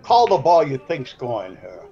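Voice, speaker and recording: A man's voice: medium pitch, huge pitch swings, a little slow, creaky, mellow, highs cut off. A man in his seventies with a South Side Chicago accent, giving rambling, folksy color commentary on a pool match. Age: 70s.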